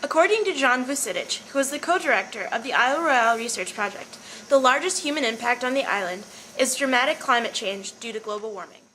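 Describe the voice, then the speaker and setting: A woman narrates from a script in an informational style. Her voice sits a little high and has a bright, forceful edge. Noise is audible in the amateur recording. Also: animated, fast talker. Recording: noisy amateur recording with clear background noise.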